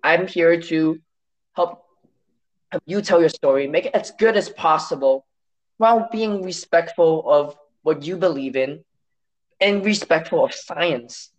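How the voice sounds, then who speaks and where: A man from Hong Kong whose first language is Cantonese, speaking as an interview guest in a measured, reflective way with some restarts. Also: a little high, poor audio. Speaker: guest, science story editor.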